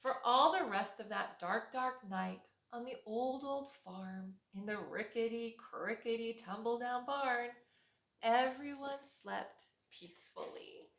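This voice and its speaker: A woman preschool teacher reading a storybook aloud to young children in a rhythmic, expressive way. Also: fairly high pitch, measured pace. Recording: echoey room.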